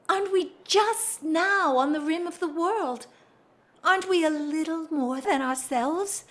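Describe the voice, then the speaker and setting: High-voiced, drawling bored society wife. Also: female voice, a bit bright, heavily acted. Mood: teasing, intense. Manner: theatrical, wry, drawling with emphatic swells. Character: restless, bored aristocratic wife confessing candidly. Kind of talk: period drawing-room audio drama acting.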